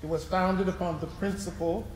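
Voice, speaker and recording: A man on stage playing a solemn, urgent political leader, speaking deliberately and emphatically in readers theatre oratory. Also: high voice, steady tone, close to an everyday voice. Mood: solemn, resolute. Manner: deliberate, emphatic, pausing between phrases. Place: live stage performance in a hall. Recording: echoey live hall, clear background noise.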